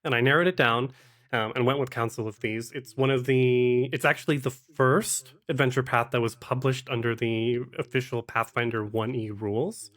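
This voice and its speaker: A man's voice: medium pitch, fairly even intonation, normal speed, fairly bright tone. A man speaking as a podcast guest, explaining his work in a casual, conversational way. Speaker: podcast guest, actual-play podcaster and game master. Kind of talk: casual interview chat.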